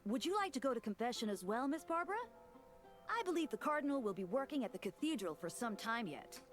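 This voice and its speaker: A courteous young church deacon from a game, in a fairly high, expressive voice. The delivery is polite and measured over prominent music. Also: female voice. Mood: calm, courteous. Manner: polite, measured, smooth-tongued. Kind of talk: video game voice acting.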